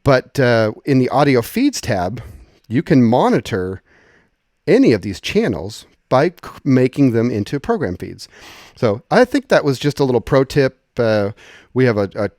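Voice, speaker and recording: A man with a medium-pitched voice walks through software in an informal, conversational way. He explains things as a tutorial presenter would, thinking aloud. The sound is dry, with very little room in it. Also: very animated, normal speed, no echo.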